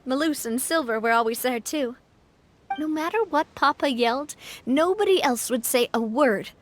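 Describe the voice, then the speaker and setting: A woman playing a refined, warm-hearted young leader in a video game, talking in a gentle, earnest, thoughtful way. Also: sits high, expressive, normal speed, slight background noise. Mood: amused. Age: young adult. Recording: background music.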